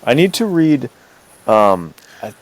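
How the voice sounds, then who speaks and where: A man's voice: mid-range voice, sing-song, slow pace, rough recording. A man co-hosting a podcast in casual, slangy, enthusiastic conversation. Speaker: podcast co-host. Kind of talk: casual chat.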